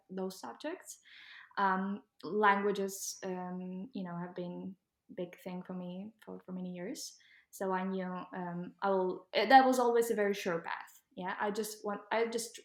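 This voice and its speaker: A young Ukrainian woman with a Ukrainian accent, answering interview questions casually with many pauses and ums. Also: mid-range voice, roomy sound. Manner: hesitant, many ums, laughs while talking. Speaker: guest.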